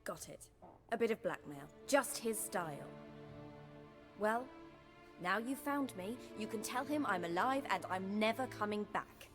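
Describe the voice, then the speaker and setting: An actress playing a defiant, bitter young baron's daughter in a video game, proud and curt. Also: female voice, mid-range voice, expressive, a little slow, natural voice. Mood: bitter, scornful. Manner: curt, proud, formal wording. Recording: music behind the voice.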